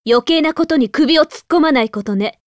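A woman's voice: sits high, fairly quick, no echo, studio quality, natural voice. A voice actress playing a stern, resolute young noblewoman in an anime game, haughty and commanding.

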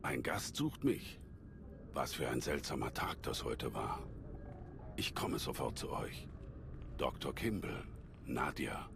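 A man playing a calm old alien Jedi master in a German game dub, measured and scholarly, with a deep gravelly voice. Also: music in the background, heavily acted. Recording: sci-fi game ambience behind voice.

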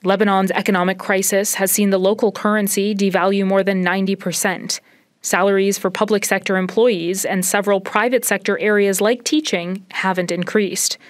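A woman's voice: mid-range voice, no room sound. Young woman reporter, dry close sound. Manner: measured, even news delivery. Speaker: news correspondent. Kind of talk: scripted news report. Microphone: handheld reporter mic.

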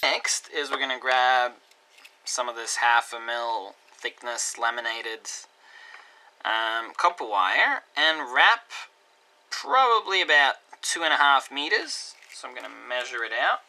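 A man teaching a hands-on electronics project at a desk, talking casually step by step and trailing off quietly as he works. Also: mid-range voice, lively intonation, a little slow, poor audio. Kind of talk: hands-on DIY tutorial narration. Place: indoor desk workbench.

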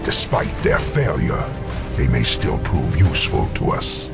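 An actor playing a cold, imperious demon overlord in a video game, with an ominous, gravelly bass. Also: male voice, wildly swooping pitch, unhurried, loud music behind the voice, full character voice. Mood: cold, threatening. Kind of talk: video game cutscene acting.

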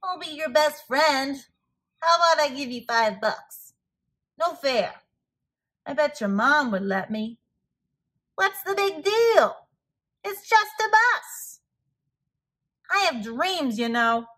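A woman voicing a pleading, wheedling, excitable cartoon pigeon in a children's picture-book read-aloud, with a dramatic, whiny manner. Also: high-pitched, slow pace, pauses often, full character voice.

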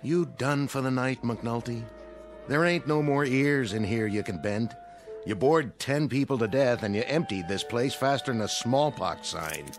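An actor with an American accent playing a fed-up bartender in a radio drama, dry, sarcastic and needling. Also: male voice, medium pitch, unhurried, faint music behind, lightly acted.

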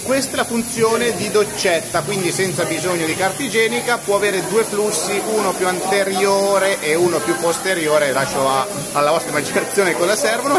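A man speaks in a rather high voice. He chats to camera in a joking, animated way, like a gadget reviewer. A busy fair hall crowd is clearly heard around him. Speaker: tech reviewer and vlogger. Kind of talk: casual chat to camera while demonstrating products. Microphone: handheld phone at arm's length.

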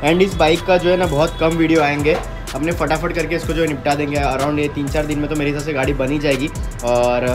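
Fast-talking young man showing his workshop build. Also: a little high. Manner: mixes Hindi with English words, explains step by step. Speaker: vlogger building a custom motorbike. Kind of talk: casual walkthrough explaining work to camera. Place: metal-walled garage workshop, indoors. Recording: music playing under the voice. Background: background music.

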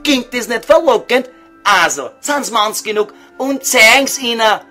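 A man's voice: extremely high pitch, animated, unhurried, bright, forceful tone, soft background music, full character voice. A man playing a cheeky, foul-mouthed estate agent in a comedy audio sketch, talking colloquially with a Viennese accent. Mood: wheedling, familiar. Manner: crude, wheedling, colloquial.

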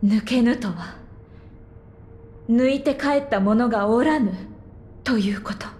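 An actress playing a gentle, sorrowful temple priestess in a video game, with formal, grave, hushed delivery. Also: female voice, on the high side, slow pace, husky. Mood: solemn. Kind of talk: video game drama acting. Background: music.

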